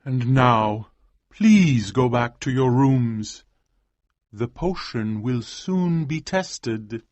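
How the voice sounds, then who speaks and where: A man voicing an ambitious scientist husband in a storybook reading, speaking in an earnest, deliberate way. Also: mid-range voice, animated, a little mellow, dry recording, lo-fi sound. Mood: grave. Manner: earnest, deliberate, drawn-out delivery. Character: ambitious male scientist, devoted yet obsessive husband. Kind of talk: audiobook character reading.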